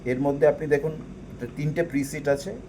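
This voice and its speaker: A man's voice: a little high, fairly even intonation, normal speed, noisy background. A man with a Bengali accent giving an informal step-by-step equipment walkthrough, explaining and mixing in English technical terms. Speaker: presenter demonstrating audio equipment.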